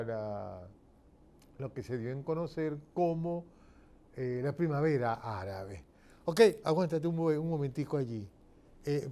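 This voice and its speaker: A man's voice: fairly high pitch, mellow. A man with a Venezuelan accent giving an informal explanatory lecture, emphatic and didactic, posing rhetorical questions and checking in with listeners. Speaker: political commentator giving a lecture.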